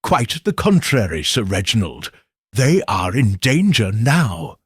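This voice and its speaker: A man voicing a calm, incisive middle-aged detective who speaks in a measured, assured way. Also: lowish voice, husky, no echo, studio quality, exaggerated voice. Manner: measured, deliberate, quietly assured.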